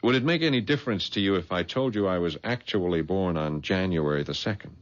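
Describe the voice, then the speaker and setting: An actor playing a smooth-talking private detective in a radio drama, easygoing and probing. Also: male voice, deep voice, expressive, brisk. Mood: confiding. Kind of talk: radio drama dialogue and first-person narration. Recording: dull vintage radio recording.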